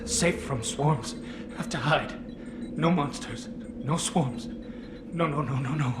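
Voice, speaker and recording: A man playing a nervous, delirious young alien pilgrim in a video game, muttering in halting, repetitive fragments. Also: fairly high pitch, over music. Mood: frightened muttering. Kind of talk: video game cutscene acting.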